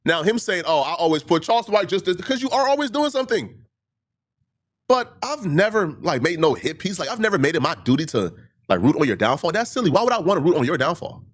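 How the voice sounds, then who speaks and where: A man's voice: high-pitched, big pitch swings, fast. A man who stutters, a livestreamer giving a heated monologue to his critics, full of repeated points and rhetorical questions.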